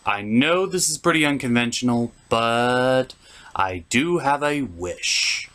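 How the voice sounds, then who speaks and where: A man's voice: medium pitch, lively intonation, slow pace, a bit bright. A man hosting a scripted comedic cartoon review, theatrical and opinionated with crude turns of phrase. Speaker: cartoon reviewer, show host. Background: music bed.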